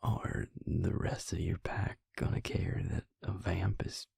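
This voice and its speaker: A man playing a gentle, devoted vampire lover in an intimate roleplay audio, speaking tenderly and haltingly in a breathy, gravelly near-whisper. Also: low voice, little pitch movement, dry recording, clean studio sound, heavily acted. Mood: wry, affectionate. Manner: slow, tender, halting delivery.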